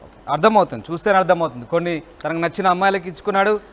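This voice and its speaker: A man's voice: high voice, rapid-fire, a bit bright. A man anchoring a live news interview, pressing and rephrasing his questions insistently.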